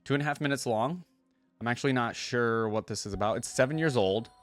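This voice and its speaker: A man's voice: medium pitch, fairly quick, soft background music. A man hosting a reaction video with informal, conversational commentary. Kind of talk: casual commentary and story read-aloud.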